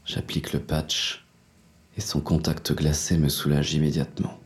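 Very deep hard-boiled fugitive played by a man, music behind. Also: flat delivery, moderate pace. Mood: weary, grim. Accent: French. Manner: crude, slangy, terse. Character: hard-boiled, cynical, wounded male fugitive with a gun. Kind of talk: first-person noir audio fiction narration.

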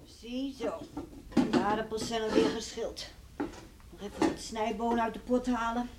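A woman's voice: on the high side, fairly even intonation, lightly acted. An actress in a radio play playing a caring middle-aged farmer's wife and mother, with a soothing, practical manner. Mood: homely, busy. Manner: soothing, homely, measured. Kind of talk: Dutch radio play acting. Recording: noisy old radio play recording.

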